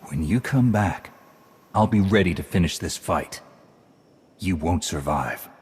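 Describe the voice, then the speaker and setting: A man's voice: deep voice, measured pace, darkish tone, breathy edge, heavily acted. A man playing a resolute, defiant heroic knight in a video game, speaking firmly. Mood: determined. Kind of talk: video game cutscene acting.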